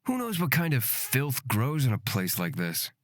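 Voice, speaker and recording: An actor playing a smug, mocking rogue with knives in a fantasy visual novel, haughty and teasing. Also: male voice, medium pitch, wildly swooping pitch, moderate pace, dry recording, clean studio sound. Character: smug, mocking knife-carrying rogue man.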